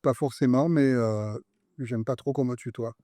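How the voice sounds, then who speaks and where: A man, the guest head chef, talking in a relaxed, hesitant way in casual chat. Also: mid-range voice, normal speed, no room sound, clean studio sound.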